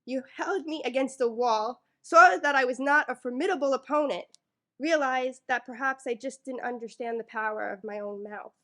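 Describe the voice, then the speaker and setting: A woman's voice: high-pitched, normal speed, fairly bright tone. A woman from New York reading her own poems to a live audience in a library, a little nervous, with many fillers between pieces. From New York, United States. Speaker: featured poet. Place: public library event room. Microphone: microphone in front of a live audience.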